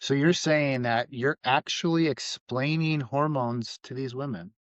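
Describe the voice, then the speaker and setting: A man in the host's chair, speaking casually and agreeing as he steers his guest with leading questions. His voice is mid-pitched, and the recording is dry and a little dull. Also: a little slow, no room sound. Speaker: podcast host. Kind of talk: podcast interview.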